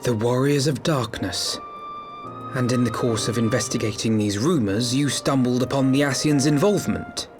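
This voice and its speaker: A man's voice: medium pitch, normal speed, natural voice. An actor playing an earnest young nobleman in a game cutscene, with a formal, archaic and articulate way of speaking. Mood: thoughtful. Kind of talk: dramatic video game cutscene acting. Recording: music under the voice.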